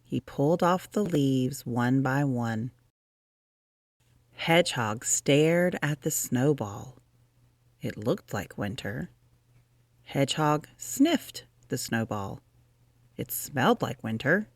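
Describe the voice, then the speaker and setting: A woman reading a children's story aloud as an expressive storyteller. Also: a bass voice, takes it slowly, pauses often, no echo, clean studio sound.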